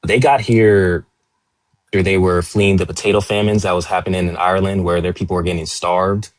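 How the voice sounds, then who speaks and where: A man's voice: deep voice, fairly even intonation, fairly quick, no room sound. A man arguing his point steadily and earnestly as a panelist in a live online debate. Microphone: remote call audio.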